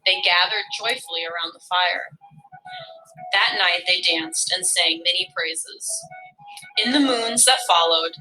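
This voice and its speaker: A woman reading a legend aloud in an expressive storytelling style. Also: on the low side, a little slow, rough recording. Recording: music behind the voice. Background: music.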